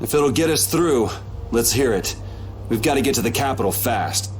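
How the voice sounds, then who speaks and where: A man's voice: mid-range voice, expressive, moderate pace, faint music behind, natural voice. A man playing a blunt, determined young adventurer in a video game, casual and direct.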